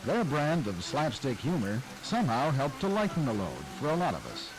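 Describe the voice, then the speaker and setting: A man reads scripted documentary narration in a smooth, announcer-like way, his pitch rising and falling expressively. Music plays clearly behind him. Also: huge pitch swings, moderate pace, rough recording.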